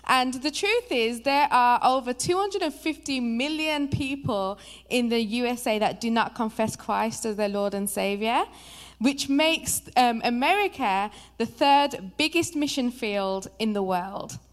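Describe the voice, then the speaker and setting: High-voiced woman with a Birmingham accent. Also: measured pace. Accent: Birmingham English. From England. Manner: lively, chatty, personal. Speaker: guest preacher. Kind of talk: sermon introduction. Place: church.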